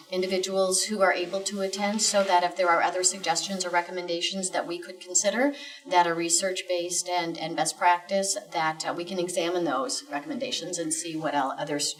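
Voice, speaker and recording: Female education official as guest, lower register, measured hesitant formal answers, slightly distant mic. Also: steady tone, slight background noise. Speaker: guest, school district executive director. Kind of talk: informational interview answers.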